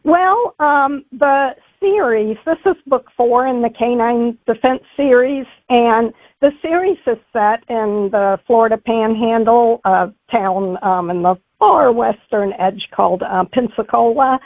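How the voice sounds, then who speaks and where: A woman's voice: on the high side, a little slow, dry recording. A woman being interviewed as an author, giving conversational answers over a thin phone-like connection. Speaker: author guest.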